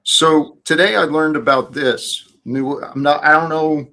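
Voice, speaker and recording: A man hosting a casual group chat, calmly pushing back and correcting the others. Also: on the high side. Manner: calm, corrects others in a measured way. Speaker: host.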